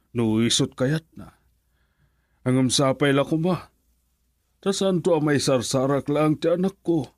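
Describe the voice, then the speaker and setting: Guy playing ilocano dad, radio drama, resigned but loving, talks slow, close dry sound. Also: medium pitch, darkish tone, no room sound, lightly acted. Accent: Filipino, Ilocano. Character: resigned, loving middle-aged father.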